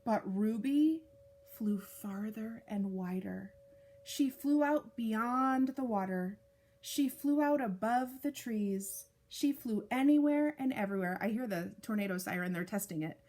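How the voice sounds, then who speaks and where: A woman reading a picture book aloud to young children, with deliberate, expressive phrasing and casual asides. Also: a little high. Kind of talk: read-aloud storytime narration with casual asides.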